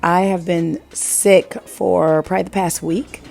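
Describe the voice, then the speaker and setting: A woman in her thirties with a hoarse, gravelly voice from being sick, chatting casually over her home decorating video and asking viewers what they think. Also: lowish voice, steady tone, unhurried, a little mellow. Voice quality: gravelly, husky. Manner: chatty, rambling, asks viewers questions. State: hoarse from illness.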